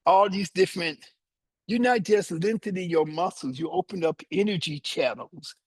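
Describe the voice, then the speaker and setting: A man in his seventies teaching yoga, guiding students through their body and explaining the practice in a slow, deliberate, explanatory way with short pauses. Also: high voice, husky, dry recording. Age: 70s. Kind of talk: guided yoga instruction with teaching asides.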